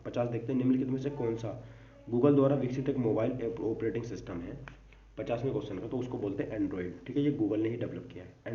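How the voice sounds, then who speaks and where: A man's voice: mid-range voice, warm tone. A man teaching an informal exam-prep lesson, reading out quiz questions and answers and mixing in English terms. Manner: repeats catchphrases, mixes English terms into explanations. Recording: dull, distant, echoey room with faint noise.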